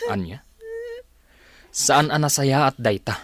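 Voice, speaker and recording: A man's voice: medium pitch, slow, a bit bright, no echo. A man playing a concerned, indignant father questioning his family in an Ilocano radio drama.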